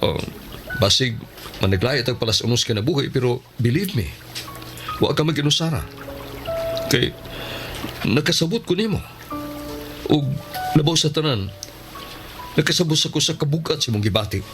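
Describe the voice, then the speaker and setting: An actor playing a kind, joking man who consoles a friend with hesitant, stammering warmth in a radio drama. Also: mid-range voice, animated, moderate pace, pauses often, music in the background, lightly acted. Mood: hesitant, sympathetic.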